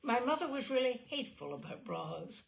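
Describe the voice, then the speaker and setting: A woman giving a theatrical, expressive reading of a comic personal essay, with emphatic phrasing. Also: sits high, normal speed, creaky, background noise. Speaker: reader of literary selections.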